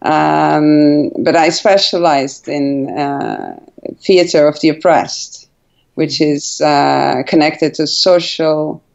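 A woman's voice: deep voice, takes it slowly, gravelly, a little mellow, highs cut off. A woman in her forties from Portugal, a guest telling her story in a casual interview, hesitant with many fillers and restarts. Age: 40s. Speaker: guest, brand founder.

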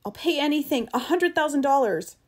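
Frightened male hunter for audiobook fiction, woman performing: high-pitched, whining, defensive, pleading. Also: lively intonation, fairly quick.

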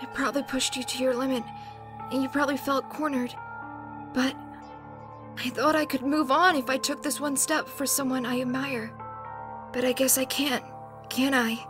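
Woman as timid teen boy, very high, hesitant, gentle, manga dub, clear background music. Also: steady tone, normal speed, long pauses, close to an everyday voice. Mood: apologetic, sad. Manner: soft, hesitant, stammering. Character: timid, gentle, anxious teenage boy student. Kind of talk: fan manga dub acting.

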